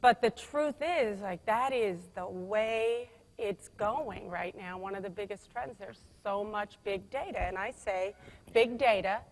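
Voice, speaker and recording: Woman conference speaker, loud and emphatic, restarts often, mid pitch, echoing hall. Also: unhurried. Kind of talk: conference keynote presentation. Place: conference room. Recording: long hall reverb.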